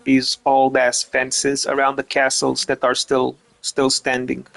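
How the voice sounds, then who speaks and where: A man's voice: medium pitch, completely flat delivery, unhurried, vocal fry, a bit bright, rough recording. A man from Romania, giving casual gameplay commentary as a streamer, rambling, stumbling over repeated words and swearing now and then. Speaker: gaming streamer.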